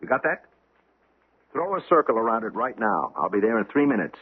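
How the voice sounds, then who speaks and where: Driven, animated policeman. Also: lowish voice, brisk, slightly rough, narrow, tinny audio, heavily acted. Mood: brisk, urgent. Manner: clipped commands, intense. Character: driven, excitable policeman, commanding and hungry for the arrest. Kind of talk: old-time radio drama acting. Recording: thin, faintly noisy old radio broadcast.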